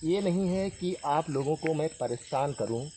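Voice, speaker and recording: Higher-voiced man, halting, insects chirping behind. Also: animated, dark tone. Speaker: paranormal vlog host. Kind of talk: ghost-hunting vlog, addressing spirits and viewers. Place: outdoors at night by a tree. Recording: noisy outdoor night recording with insect sounds.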